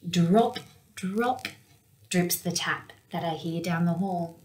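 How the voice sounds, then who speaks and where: A young woman reading a picture book aloud for children in an expressive storytelling manner. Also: lowish voice, takes it slowly. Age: young adult. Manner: expressive, with acted-out sound words. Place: small indoor room with window blinds.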